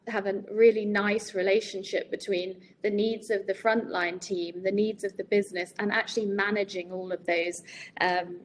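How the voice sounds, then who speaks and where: A woman in her forties hosting a webinar over a video call, chatty and relaxed, with many ums. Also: mid-range voice, a little hiss or hum, poor audio. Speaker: webinar host. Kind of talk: casual webinar chat. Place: home room with shutters and plants. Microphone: video call laptop mic.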